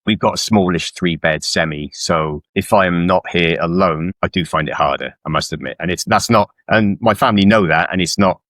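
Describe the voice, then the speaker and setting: Very deep-voiced middle-aged man, dry sound. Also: normal speed, few pauses, a bit bright, no echo. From South Wales. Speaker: guest author. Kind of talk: casual conversational interview. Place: indoors at home on a video call.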